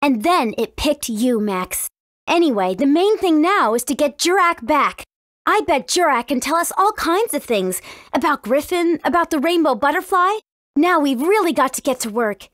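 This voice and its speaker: A woman playing a friendly, earnest, cautious teenage princess in a video game, with expressive delivery. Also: sits high, normal speed, no echo, clean studio sound. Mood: friendly, eager. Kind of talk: video game dialogue acting.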